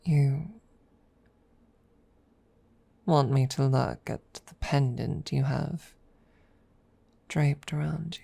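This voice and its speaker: Woman playing a low, slow, creaky-voiced proud dragoness. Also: fairly even intonation, warm tone, no echo, exaggerated voice. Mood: hushed, curious. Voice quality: breathy close murmur, often dropping to a whisper. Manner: formal, deliberate, hesitant, with long pauses. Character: proud, guarded female dragon secretly fond of her rival. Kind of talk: ASMR audio roleplay. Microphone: close microphone.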